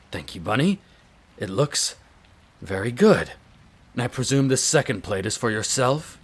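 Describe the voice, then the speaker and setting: A man acts out a proud male vampire aristocrat for an audio roleplay. He is haughty and easily flustered, with formal, imperious phrasing and a mid-pitched voice. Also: very animated, takes it slowly, long pauses, husky, full character voice. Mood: stiff, restrained. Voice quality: haughty, clipped formal diction.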